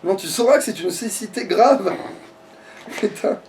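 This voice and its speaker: A man's voice: high voice, animated, a little slow, a little mellow. A man in a casual, sweary gaming chat, ranting with mock outrage, recorded indoors at some distance from the mic. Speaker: let's player.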